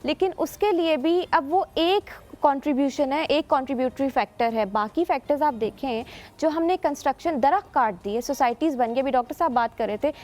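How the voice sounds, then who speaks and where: A woman, an environmental scientist guest on a morning show, explaining her points in a measured, lecturing way. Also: high-pitched, a little mellow. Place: TV studio.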